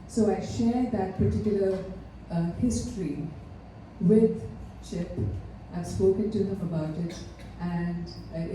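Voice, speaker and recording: Lady giving a tribute speech, slow careful pauses, really echoey room, mic way off. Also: on the low side. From India. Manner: measured, earnest, with frequent pauses and fillers. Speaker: ceremony speaker. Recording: echoey hall, mic far off, faint noise.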